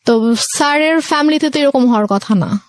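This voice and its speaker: A young adult woman with a Bangladeshi accent and a slightly high voice. She tells a personal story in a conversational, somewhat hesitant way, pausing as she links events. The sound is very dry, without room echo. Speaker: show guest telling her life story.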